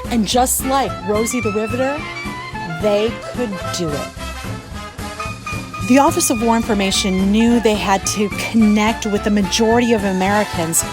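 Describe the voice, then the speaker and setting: A woman's voice: on the high side, normal speed. Woman narrating over music. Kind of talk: scripted educational narration. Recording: music behind the voice.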